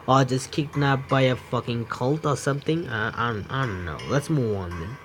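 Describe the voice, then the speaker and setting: A man reading game text aloud as a streamer, halting and stumbling, with casual asides. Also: mid-range voice, a bit bright, slight background noise. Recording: music under the voice.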